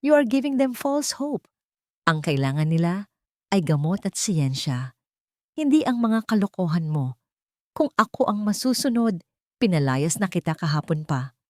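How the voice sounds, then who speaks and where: Shrill haughty rich aunt, mocking and commanding, pitch swinging high and low, as a woman puts her on, dry close sound. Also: sing-song, unhurried, pauses often, warm tone, no echo. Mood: scornful.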